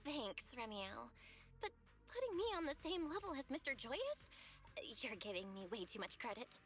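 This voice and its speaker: A woman playing an earnest, stubborn young heroine in an anime-style game, with an expressive delivery. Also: on the high side, moderate pace, soft background music. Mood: modest.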